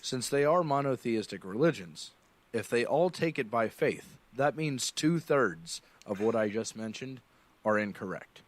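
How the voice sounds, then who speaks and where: A man calling in, arguing step by step in a steady, methodical way. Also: medium pitch, measured pace. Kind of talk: reasoned argument in a played clip.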